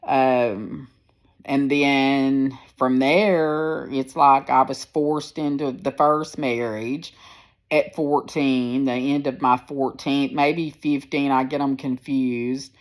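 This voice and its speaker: A woman in her sixties with a deep, firm voice, talking candidly and rambling a little as she speaks to her viewers into a phone. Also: fairly even intonation, slow. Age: 60s. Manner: candid, rambling, plain-spoken. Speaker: personal vlogger. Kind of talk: casual personal storytelling to viewers.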